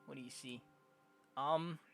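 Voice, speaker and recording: A young adult game streamer casually reading visual novel dialogue aloud, jokey and prone to loud exclamations. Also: mid-range voice, unhurried, vocal fry. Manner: animated, jokey, exclaims loudly. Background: game music.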